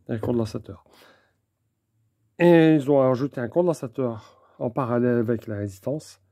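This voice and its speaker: Mellow-voiced man explaining, noisy recording. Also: mid-range voice. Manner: halting, methodical, in short phrases. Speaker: presenter of a technical demonstration video. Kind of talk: unscripted hands-on explanation. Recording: clear background noise with clicks.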